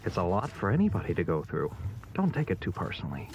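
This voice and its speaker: Man voicing a low, quick, consoling cartoon guy. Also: wildly swooping pitch, a little mellow, heavily acted. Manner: reassuring. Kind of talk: animated series fan dub. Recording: dull lo-fi audio with faint noise.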